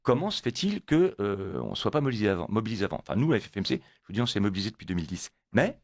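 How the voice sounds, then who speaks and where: A man's voice: lowish voice, big pitch swings, rapid-fire, creaky, no room sound. A man born in Italy, a guest on a radio interview, talking emphatically and repeating words when pressed. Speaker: guest, motorcyclists' federation coordinator.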